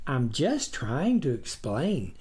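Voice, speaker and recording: Man voicing eager wheedling tempter, swooping pitch. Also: huge pitch swings, takes it slowly, darkish tone. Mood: persuasive, insistent. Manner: wheedling, needling, folksy. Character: Snuffer, eager, persuasive imaginary tempter partner. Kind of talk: audiobook character voice.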